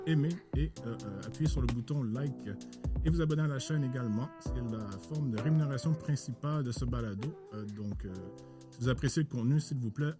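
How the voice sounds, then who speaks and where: A man hosting a podcast interview in a formal, halting way with many pauses. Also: mid-range voice.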